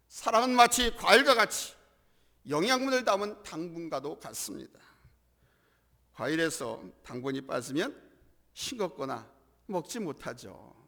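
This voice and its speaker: Hoarse, gravelly male pastor preaching. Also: high voice, bright, forceful tone. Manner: emphatic preaching with swells in volume and calls to the congregation. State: hoarse from a cold. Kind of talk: sermon. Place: church worship hall. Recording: church hall reverb.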